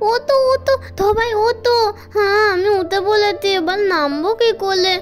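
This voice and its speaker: A woman voicing an excited little toddler girl with a babyish lisp in a cartoon. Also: extremely high pitch, normal speed, voice pushed up. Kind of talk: cartoon voice acting.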